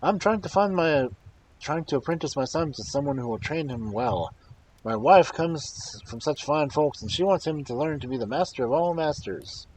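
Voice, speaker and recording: A man acts out a storybook peasant father. He is earnest and emphatic, his voice lifted higher than a plain reading, over some noise. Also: expressive, moderate pace, a little mellow, lightly acted, rough recording.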